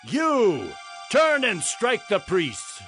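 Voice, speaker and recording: A man's voice: sits high, huge pitch swings, very slow, music in the background, exaggerated voice. A man playing a wrathful, imperious king in a dramatized Bible, drawing out his words in declamation. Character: wrathful, accusing king of ancient Israel. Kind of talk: dramatized Bible audio acting.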